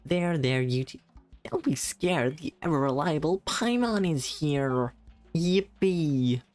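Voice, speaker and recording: A streamer doing a squeaky little fairy companion. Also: animated, takes it slowly, a little mellow, faint music behind. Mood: reassuring. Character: small squeaky fairy companion, plucky and chatty. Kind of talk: game dialogue read aloud.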